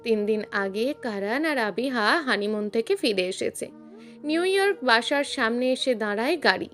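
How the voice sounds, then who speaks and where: A woman's voice: fairly high pitch, animated, moderate pace, a bit bright, faint music behind. A woman reading a scripted dramatic story as its narrator.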